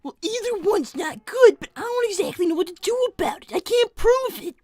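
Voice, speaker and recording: Woman as a little cartoon boy fox, extremely high voice, earnest, hesitant, weary, exasperated, dry close sound. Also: animated, fairly quick, darkish tone, no echo. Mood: worried, exasperated. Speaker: character performer on a livestream. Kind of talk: improvised in-character conversation.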